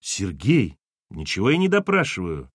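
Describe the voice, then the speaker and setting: A man's voice: fairly low pitch, wildly swooping pitch, normal speed, no room sound, voice pushed down. A man voicing a dogged husband in an audiobook, questioning people bluntly with dry irony. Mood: flustered. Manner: blunt, insistent, dryly ironic.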